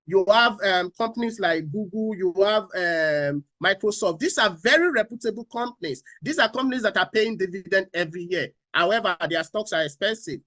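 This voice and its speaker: A man's voice: high-pitched, animated, a little fast, hardly any pauses, bright, forceful tone, dry recording. A man from Nigeria, speaking as a finance panelist on a talk show, repeating key phrases and asking rhetorical questions.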